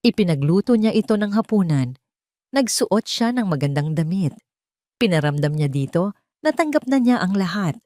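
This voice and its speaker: A woman's voice: lowish voice, very animated, normal speed, dark tone, dry recording, clean studio sound. A woman reading dramatic scripted narration as the storyteller of an audio drama.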